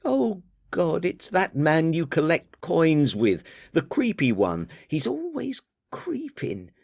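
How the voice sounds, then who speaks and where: A man's voice: a little high, huge pitch swings, creaky, a little mellow, breathy edge, no room sound, clean studio sound, voice pushed up, heavily acted. A man voicing a bubbly, bossy young woman who scolds and chatters at her father. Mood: chirpy scolding. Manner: chirpy, nagging, quick to scold. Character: lively young adult daughter, bubbly and bossy.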